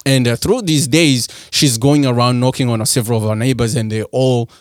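A young man from Rwanda telling his personal story as a podcast guest, soft-spoken and halting, with many fillers and restarts. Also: medium pitch, steady tone, no echo, clean studio sound.

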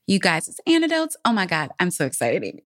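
A woman's voice: fairly low pitch, huge pitch swings, a little fast, fairly bright tone. A woman co-hosting a podcast, chatting casually in an emphatic, slangy way. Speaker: podcast co-host and comedy writer.

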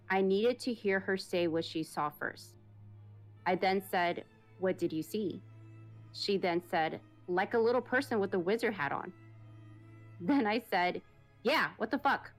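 Woman host reading a spooky listener story, steady even read, faint music underneath. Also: mid-range voice.

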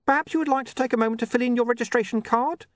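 A man's voice: extremely high pitch, very animated, fast talker, dry recording, studio quality, pitched above the performer's own voice, full character voice. A man voicing an eager, desperately cheerful imp gadget that talks in formulaic customer-service patter. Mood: desperately helpful.

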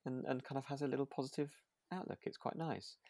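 A woman's voice: very deep voice, steady tone, fast, warm tone, no room sound. Woman chatting in a dry, close recording. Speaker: podcast co-host. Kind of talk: relaxed casual chat about a radio drama.

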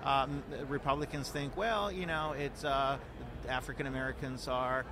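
A man from Puerto Rico speaks earnestly in an interview, stopping often on fillers and repeated words. His voice sits at an ordinary pitch. Traffic and street noise run clearly behind him outdoors. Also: steady tone, moderate pace. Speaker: guest, political director. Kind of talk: conversational interview. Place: outdoor event.

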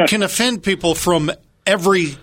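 A man's voice: high-pitched, normal speed, fairly bright tone, dry recording. A man co-hosting a radio show, chatting casually with wry, teasing remarks. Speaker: radio co-host. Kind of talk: casual talk radio banter. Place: radio studio.

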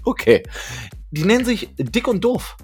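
Ein Mann in seinen Zwanzigern, Co-Moderator eines Podcasts, plaudert locker und scherzt viel. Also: male voice, high voice, big pitch swings, a little slow, slightly rough, soft background music. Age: 20s. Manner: laughs often, joking. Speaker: podcast co-host. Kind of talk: casual chat.

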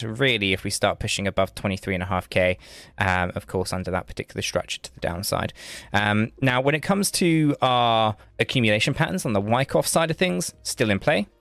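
Brief: lowish male analyst, rambling casual chart talk, dry sound. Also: normal speed, a bit bright, no echo. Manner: loose, rambling, many fillers and restarts. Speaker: co-host, market analyst. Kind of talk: casual market analysis on a livestream.

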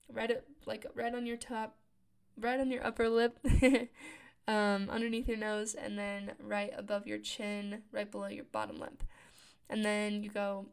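A woman's voice: on the high side, vocal fry, smooth. A woman in her late twenties, talking casually about her routines, her voice scratchy from just waking up, often correcting herself and laughing. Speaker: podcast host. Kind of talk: casual personal talk.

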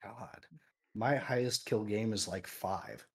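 A man with a low voice that people call fit for radio. He chats easily as a podcast guest and pokes fun at himself in a relaxed way. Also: slow pace, vocal fry, slightly rough. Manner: easygoing, self-deprecating. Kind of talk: casual podcast chat.

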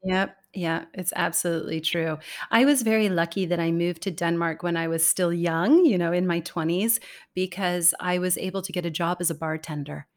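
A woman in her thirties, speaking as an interview guest in a relaxed, animated way and laughing often. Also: lowish voice, moderate pace. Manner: animated, laughs often, acts out quoted remarks. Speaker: guest, communication expert. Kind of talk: conversational interview.

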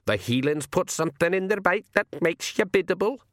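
An old Scottish pixie, acted out by a man, bossy and folksy, speaks in blunt, coaxing dialect. The voice swings up and down in pitch, recorded close and dry, as a storybook character. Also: lively intonation, moderate pace, a bit bright, dry recording. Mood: bossy, matter-of-fact. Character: old male pixie, bossy, folksy spokesman for his chief. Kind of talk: audiobook character voice.

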